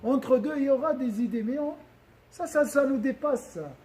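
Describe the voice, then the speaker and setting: Male religious teacher, high-pitched but mellow in tone, talking to a room, checks in with listeners, loops back on his words. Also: moderate pace. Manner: questions the audience often, repeats and restarts phrases. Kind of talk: religious lesson to a gathering.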